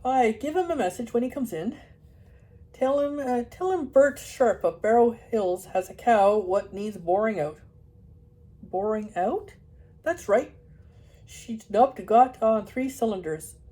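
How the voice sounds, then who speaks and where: A woman reading a story and voicing a plump, blustering rural farmer, loud and folksy. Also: a little high, animated, measured pace, voice pushed up, low-quality recording. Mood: hearty, loud. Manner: loud, folksy, dialect-heavy.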